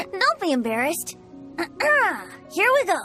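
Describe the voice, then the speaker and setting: A carefree young male bard in a video game. The voice is high and lilting with lively swings, playful and grandiose, over clear background music. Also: slow pace, full character voice. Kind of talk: video game character acting.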